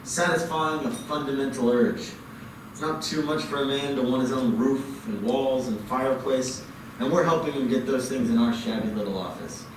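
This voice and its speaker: An actor playing a calm, kindly middle-aged father who runs a small loan office. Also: mid-range voice, normal speed, lightly acted. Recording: echoey room, far from mic, noisy.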